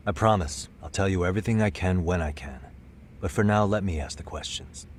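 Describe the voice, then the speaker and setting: A man's voice: sits low, fairly quick, a little hiss or hum, close to an everyday voice. A man playing a cool, persistent private detective in a video game cutscene, casual, composed and probing. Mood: probing, reassuring.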